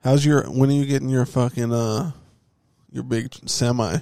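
A man's voice: mid-range voice, fairly even intonation, normal speed, gravelly, mellow, smooth, no room sound, clean studio sound. A young man hosting a podcast in casual banter, teasing and swearing freely. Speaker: podcast host.

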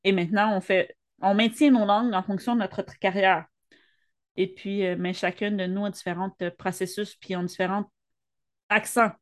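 A woman's voice: mid-range voice, steady tone, moderate pace. A woman co-hosting a podcast, speaking earnestly in French with a Spanish tinge and stumbling over her first words. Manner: earnest, repeats words when starting sentences. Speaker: podcast co-host. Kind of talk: casual conversation.

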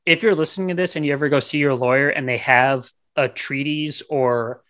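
A man's voice: mid-range voice, steady tone, fairly quick, a bit bright. A man co-hosting a podcast, casually retelling a movie in a rambling, slangy, profane way. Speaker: podcast co-host. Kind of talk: casual movie review banter.

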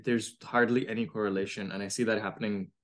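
Guy hosting a podcast, lowish voice, lots of uhs and restarts. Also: steady tone, fast. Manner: hesitant, many uh fillers and repeats. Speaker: podcast host. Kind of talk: interview questions.

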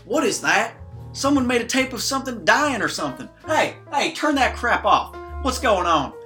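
A man voicing a loud, brash Southern race car driver in a put-on drawl, belligerent and yelling. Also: very high voice, big pitch swings, normal speed, faint music behind, pitched above the performer's own voice, full character voice.

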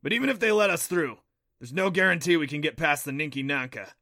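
A man's voice: a little high, fast, sharp tone, no room sound, clean studio sound, voice pushed up. A man voicing a proud, impatient magical horned beast, explaining things emphatically in an audiobook. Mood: grave, urgent. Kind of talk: audiobook character voice.

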